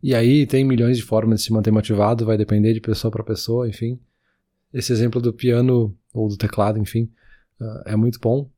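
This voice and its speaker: A man, co-hosting a podcast in relaxed casual chat, full of fillers. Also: fairly low pitch, fairly quick. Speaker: podcast co-host.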